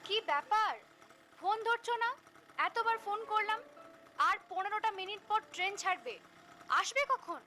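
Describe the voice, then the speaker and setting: A woman's voice: very high voice, moderate pace, pauses often, bright, forceful tone, a little hiss or hum. A woman playing an impatient, nagging young woman on a phone call in a cartoon.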